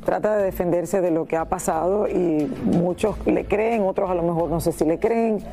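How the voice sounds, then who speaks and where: Chatty woman TV co-host, mellow and a little gravelly, music underneath. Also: fairly low pitch, moderate pace. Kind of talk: casual studio chat.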